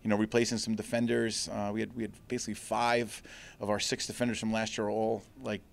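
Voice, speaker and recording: A man's voice: fairly low pitch, flat delivery, fast talker, creaky. A middle-aged man, a head coach, giving an earnest postgame interview with many restarts, speaking into a handheld mic in front of a backdrop. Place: indoors before a sponsor backdrop. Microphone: handheld mic held by interviewer.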